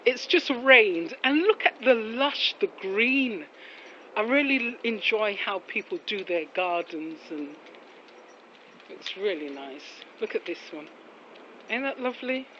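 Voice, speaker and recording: A woman in a casual walking vlog with devotional reflections, rambling and full of admiring exclamations. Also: medium pitch. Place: outdoors on a residential street after rain. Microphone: handheld phone while walking. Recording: muffled, noisy outdoor street recording with traffic.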